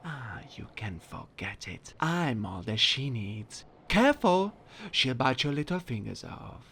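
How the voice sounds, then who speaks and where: A sly old undead shopkeeper from a dark fantasy game. His voice is gravelly and slow, swinging up and down as he sneers and wheedles, gloating and half-mad. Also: medium pitch, very animated, heavily acted. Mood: doting, possessive. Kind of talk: video game character voice acting.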